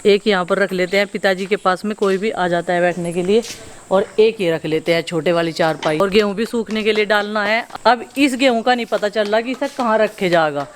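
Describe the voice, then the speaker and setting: A woman's voice: mid-range voice, brisk, slight background noise. A woman in her thirties chatting casually as she films daily life outdoors at her village home. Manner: chatty, explains as she goes, teasing with kids. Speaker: family vlogger.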